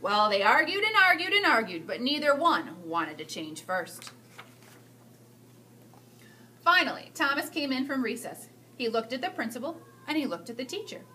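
A woman in her thirties reading a picture book aloud to children, with expressive, drawn-out emphasis. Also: sits high, a little hiss or hum, rough recording. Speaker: school staff storyteller reading to children. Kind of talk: animated children's read-aloud.

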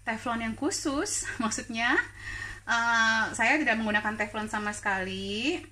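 A woman's voice: fairly high pitch, unhurried, noisy background. A woman from Indonesia hosting a live cooking stream from her home kitchen, chatting and laughing as she answers viewer questions. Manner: chatty, laughs often, code-switches into English words. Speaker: cooking show host.

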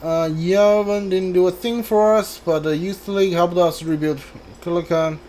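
A streamer with a middling pitch plays through a video game. The delivery is informal, switching between reading dialogue aloud and muttered asides. Game ambience runs behind the voice. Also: steady tone, normal speed, mellow, a little hiss or hum. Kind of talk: casual gameplay commentary, reading on-screen dialogue aloud.

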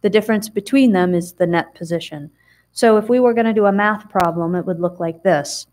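A woman's voice: mid-range voice, brisk, a little mellow. A middle-aged woman presenting audit figures to a town council in a chatty, explanatory way with frequent ums and restarts, recorded on a table mic in a council chamber.

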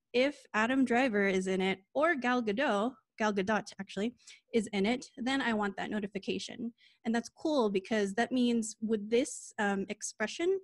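A woman walks through a coding demo over a video call in a casual, informal way. She talks quickly and corrects herself on the fly. Her sound is dry and close. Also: mid-range voice, no room sound. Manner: quick, informal, with small laughs and self-corrections. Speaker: lightning talk speaker.

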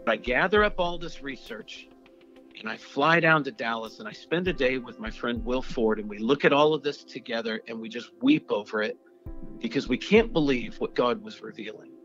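A man's voice: medium pitch, moderate pace, a bit bright, dull audio, soft background music. A man earnestly recounting a personal story, stumbling and repeating words as he searches for them. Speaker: storyteller guest. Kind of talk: personal testimony interview.

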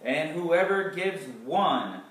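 A man in his thirties reading scripture aloud in a dramatic, emphatic way, pausing deliberately between phrases. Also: on the high side, lively intonation, fairly bright tone. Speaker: Bible reader. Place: small, sparsely furnished living room indoors. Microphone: distant camera microphone. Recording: echoey room, far from the camera mic.

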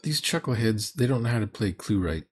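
A man's voice: fairly low pitch, lively intonation, a little fast, a little mellow, a little hiss or hum. A middle-aged man giving blunt, mocking commentary as he reacts to a game, in a sarcastic manner. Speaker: host reacting to a recorded roleplaying game.

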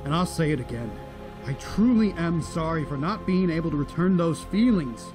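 A man playing an earnest, remorseful reformed dark king in a cartoon, speaking with dramatic, pleading delivery. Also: fairly high pitch, expressive, normal speed, music in the background, close to an everyday voice. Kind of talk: animated fan series voice acting.

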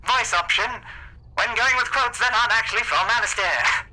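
High, bright-voiced male streamer. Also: normal speed, low-quality recording. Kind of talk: casual livestream chat.

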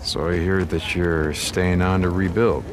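An actor playing a rugged, plainspoken American biker drifter in his thirties in a video game cutscene, halting and informal. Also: deep voice, little pitch movement. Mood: matter-of-fact. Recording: loud cinematic music behind.

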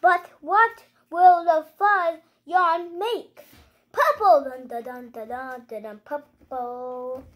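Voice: sits high, slow pace, a bit bright. A young child hosting a read-aloud, reading a picture book with playful asides and exclamations. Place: living room at home, indoors.

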